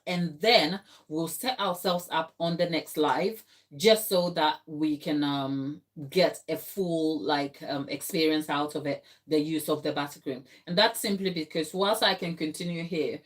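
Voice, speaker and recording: A woman from Ghana with a lowish voice hosts a live baking stream from her kitchen. She talks in a chatty, explanatory way, answering viewers' questions as they come in. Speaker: baking channel host. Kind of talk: live-stream cake tutorial and chat. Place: home kitchen.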